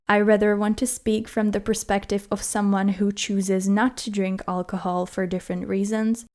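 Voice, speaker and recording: A woman's voice: mid-range voice, a little mellow. A young woman from the Republic, hosting her own podcast in an earnest, carefully worded, reflective monologue. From Czech Republic. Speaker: podcast host. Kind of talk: scripted solo podcast monologue.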